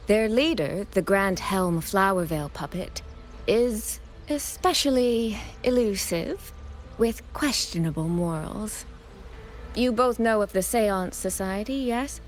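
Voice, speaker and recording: A poised, teasing elder sister. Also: female voice, mid-range voice, a little hiss or hum. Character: teasing, composed elder sister, young woman, secretive. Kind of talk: video game voice acting. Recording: music behind the voice.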